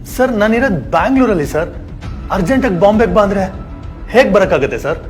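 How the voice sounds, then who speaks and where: Male actor as frightened gullible victim, rather high voice, anxious, meek, deferential, awareness skit, faint music behind. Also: expressive, fairly quick. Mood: alarmed.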